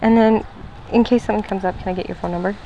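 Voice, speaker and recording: Chatty woman pitching pest control at a doorstep. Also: fairly even intonation, rapid-fire, dull audio, background noise. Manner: friendly, upbeat, talkative. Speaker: door-to-door pest control salesperson. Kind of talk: sales pitch at a front door. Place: outdoors at a house door.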